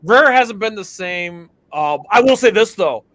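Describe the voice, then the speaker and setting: A man's voice: high voice, animated, a little slow, fairly bright tone, no room sound. A man hosting a casual podcast chat about games and movies, talking eagerly and laughing often. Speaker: podcast host.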